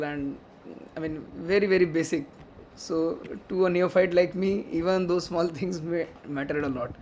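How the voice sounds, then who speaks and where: A man's voice: on the high side, a little hiss or hum. A man with an Indian accent, Hindi his first language, sharing heartfelt personal memories at a memorial gathering in an earnest storytelling way. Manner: earnest, storytelling with light laughs, grows animated. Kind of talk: personal remembrance talk.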